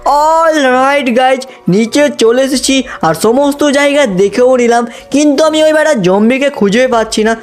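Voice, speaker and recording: A male gaming host gives excited story-style commentary as he plays. He speaks straight to the viewers throughout, in an exclamatory way. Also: very high voice, normal speed, few pauses, soft background music. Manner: addresses viewers constantly, exclamatory.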